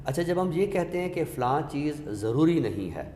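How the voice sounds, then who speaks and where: A man with a Pakistani accent giving a deliberate, didactic explanation in a literary discussion, pausing for emphasis. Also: on the high side, sing-song, dark tone. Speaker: literary scholar and guest speaker. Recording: echoey reverberant room with background noise.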